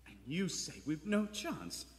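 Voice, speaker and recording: Man acting an anxious detective with swooping high pitch. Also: very animated, a little slow. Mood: tense, covering up. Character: anxious young police detective, secretly protective of a woman. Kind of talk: 1940s radio play acting. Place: theater stage in front of an audience. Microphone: standing stage microphone. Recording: live theater stage, long reverb, music behind.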